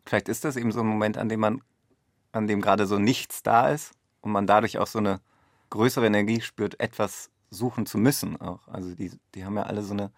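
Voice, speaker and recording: Male director in conversation, slightly low voice, dry recording, thoughtful and explanatory. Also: fairly even intonation, a little fast, no room sound. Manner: reflective, explanatory. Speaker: radio play director in discussion. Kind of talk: unscripted interpretive conversation.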